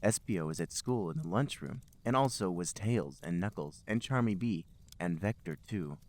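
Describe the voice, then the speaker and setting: A man with a fairly low voice reads comic fiction aloud as a podcast host. His delivery is emphatic and dramatic, with very animated pitch. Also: moderate pace. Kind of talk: comic read-aloud narration of amateur fiction.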